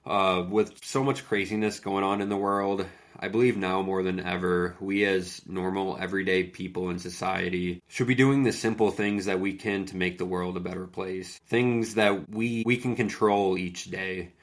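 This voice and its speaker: Man, deep voice, monotone delivery, recovering from a cold, casual podcast host. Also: moderate pace. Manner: casual, earnest, peppered with "like". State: getting over a cold. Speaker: podcast host and fitness coach. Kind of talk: solo podcast monologue.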